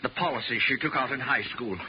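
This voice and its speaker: An actor playing a meek, guilt-ridden, henpecked father in a 1950s radio drama, speaking in a halting, subdued way. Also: medium pitch, close to an everyday voice. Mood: subdued sorrow. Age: middle-aged or older. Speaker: supporting actor. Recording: muffled old radio recording with hiss.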